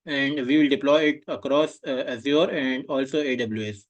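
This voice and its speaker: A man's voice: mid-range voice, steady tone, normal speed. A young man giving an oral exam presentation over a video call, speaking hesitantly with many filler sounds. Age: young adult. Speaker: student presenter.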